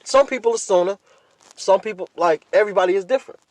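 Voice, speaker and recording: A man's voice: high voice, quick pace, darkish tone, dry recording, poor audio. A man hosting a podcast, talking casually and candidly in blunt, profane slang and repeating himself for emphasis. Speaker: podcast host.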